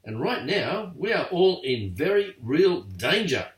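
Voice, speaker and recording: A man's voice: on the high side, sing-song, takes it slowly, pitched above the performer's own voice. An older man voicing a fussy bird advisor in an anxious, raised voice. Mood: worried. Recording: echoey room, far from mic.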